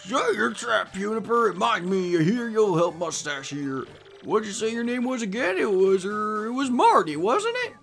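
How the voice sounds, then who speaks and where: Woman putting on a cranky, creaky old elder creature. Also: medium pitch, expressive, normal speed, faint music behind. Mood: stern, scolding. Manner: scolding, domineering.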